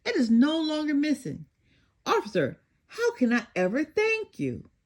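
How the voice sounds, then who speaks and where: A woman plays an earnest dinosaur detective for a children's storybook. He is excitable and fretful, with a high voice that swings widely. His delivery is slow, singsong and full of exclamation. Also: exaggerated voice. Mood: delighted.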